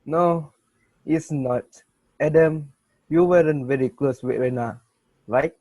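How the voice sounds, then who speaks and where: A man's voice: fairly high pitch, slow pace, a little mellow, dry recording, low-quality recording. A man playing a nervous, doubtful young student, hesitant, in an amateur dramatic reading.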